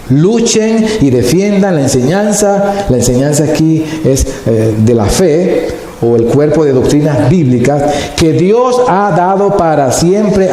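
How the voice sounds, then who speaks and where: A male pastor preaching a sermon slowly and deliberately, stretching words for emphasis. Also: a little high, dark tone. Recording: very echoey hall, faint noise.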